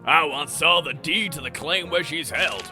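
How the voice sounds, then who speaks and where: A man's voice: fairly high pitch, normal speed, pushed and bright, soft background music. A man acting a scheming, vengeful riverboat saloon owner as a theatrical villain in a fan comic dub. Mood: gloating, excited.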